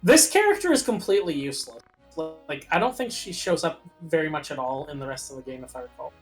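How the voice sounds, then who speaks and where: Game commentator, mid-pitched voice, matter-of-fact explainer among friends, game music underneath. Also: animated, fast, slightly rough. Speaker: gaming commentator and player. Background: video game music.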